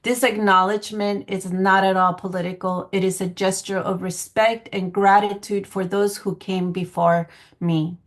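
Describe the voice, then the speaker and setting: A woman's voice: medium pitch, little pitch movement, moderate pace. Earnest woman presenting on a video call. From Guatemala. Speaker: public health planning coordinator giving a presentation. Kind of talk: semi-formal online presentation. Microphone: video call microphone.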